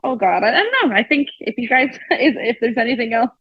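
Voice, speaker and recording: A woman's voice: a little high, very animated, quick pace, husky, no echo. A woman in her thirties, a guest teacher, talking casually and laughing often on a podcast. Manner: chatty, laughs often, says "like" a lot. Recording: dull lo-fi sound.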